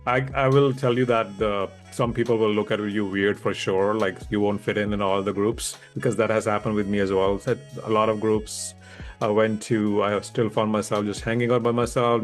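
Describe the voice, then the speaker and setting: Lowish-voiced man. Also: little pitch movement, normal speed, faint music behind. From India. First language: Hindi. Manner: candid, reflective, laughs easily. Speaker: guest, public speaking coach. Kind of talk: conversational interview.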